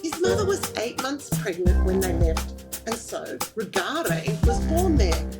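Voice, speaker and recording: A woman hosting a podcast, narrating a guest's story in an expressive, melodic scripted style and asking interview questions. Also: a little high, a little slow, loud music behind the voice. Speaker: podcast host.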